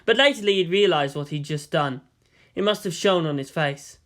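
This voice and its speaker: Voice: mid-range voice, moderate pace, fairly bright tone. A narrator giving a scripted, dramatic reading of anime fan fiction. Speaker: audiobook narrator.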